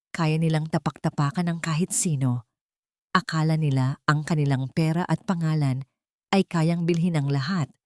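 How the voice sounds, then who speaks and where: A woman with a low-pitched voice narrates a scripted drama as a storyteller. The recording is very dry and close, with no room sound around her. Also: a little mellow, dry recording.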